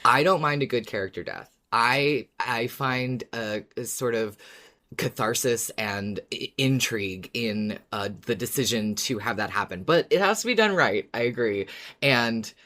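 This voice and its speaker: Thoughtful podcast co-host, halting phrasing. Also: medium pitch, lively intonation, pushed and bright. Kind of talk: casual podcast discussion.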